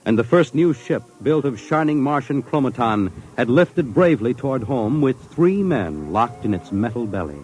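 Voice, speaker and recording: A man's voice: mid-range voice, very animated, normal speed, hardly any pauses, darkish tone, rough recording. A man narrating as a 1950s radio announcer, with grave, theatrical delivery in a vintage broadcast. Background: music.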